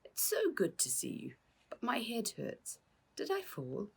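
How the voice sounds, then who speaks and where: Lady doing a groggy genial uncle from a kids book, bit husky, gentlemanly, pitched up. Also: huge pitch swings, a little slow, exaggerated voice. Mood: groggy, weak. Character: battered, dazed, genial uncle waking up.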